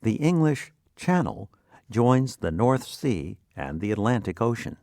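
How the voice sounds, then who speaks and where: Slow, expressive male lesson narrator. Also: medium pitch, no echo, clean studio sound. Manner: deliberate, instructional enunciation. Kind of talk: scripted educational narration.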